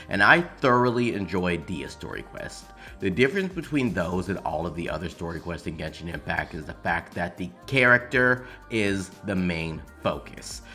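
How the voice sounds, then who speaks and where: A man's voice: low voice, bright, forceful tone. A man delivering opinionated video essay commentary on games in an emphatic, informal way. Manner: emphatic, informal, occasional swearing. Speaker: video game commentary creator.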